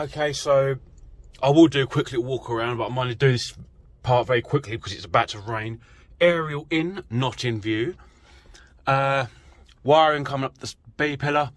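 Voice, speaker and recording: A man's voice: medium pitch, no echo. A British man giving a casual, self-deprecating DIY walkthrough while working, rambling informally into a handheld camera. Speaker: vlogger, amateur vehicle DIYer. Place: in and around an off-road vehicle outdoors. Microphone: handheld phone or camera mic. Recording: noisy handheld recording with vehicle ambience.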